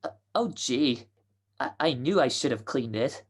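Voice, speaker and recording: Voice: medium pitch, lively intonation, unhurried, husky. A performer playing a naive, muddled young would-be femme fatale in a radio detective drama. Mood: flustered. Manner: hesitant, muddled.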